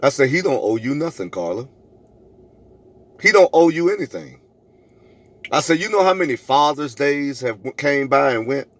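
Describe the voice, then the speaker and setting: A middle-aged man speaks as a vlogger recounting personal stories. His delivery is emphatic and preachy, with phrases repeated for weight. He re-enacts dialogue as he goes, in a medium-pitched voice. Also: very animated, normal speed, pauses often. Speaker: vlogger telling a personal story. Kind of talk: animated storytelling to camera, re-enacting arguments.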